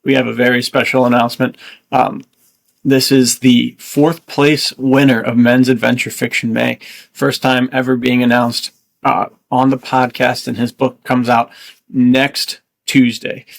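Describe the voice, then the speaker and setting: Guy hosting a podcast, lots of gravelly fry, upbeat and chatty. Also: mid-range voice, little pitch movement, unhurried. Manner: enthusiastic. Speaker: podcast host. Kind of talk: casual podcast chat.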